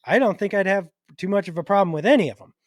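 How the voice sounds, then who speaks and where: A man co-hosting a podcast in casual chat, thinking out loud in a halting way. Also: high-pitched, no echo, studio quality. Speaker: podcast co-host.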